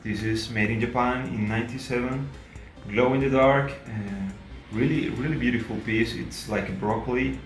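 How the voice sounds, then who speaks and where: A man's voice: medium pitch, steady tone, moderate pace, soft background music. A man from Colombia, presenting a toy in short, emphatic phrases. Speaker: toy collector. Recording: echoey room.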